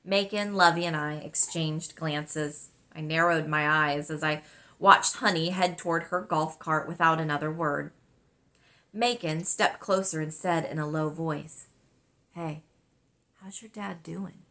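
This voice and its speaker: A woman narrating a children's novel aloud as its author, with expressive, scripted storytelling delivery. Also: deep voice, unhurried. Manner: expressive, clearly dramatized delivery.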